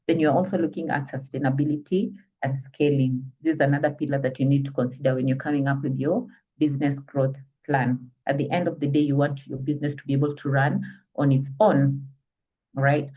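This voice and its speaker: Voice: mid-range voice, steady tone, a little fast, breathy edge. A business trainer with a Kenyan accent gives an online lecture, explaining step by step and often asking listeners if they follow. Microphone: video call. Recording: distant, muffled call audio.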